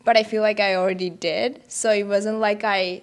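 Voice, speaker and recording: A woman's voice: medium pitch, fairly even intonation, moderate pace. A young woman student with a Sri Lankan accent, answering questions in a casual interview. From Colombo, Sri Lanka. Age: young adult.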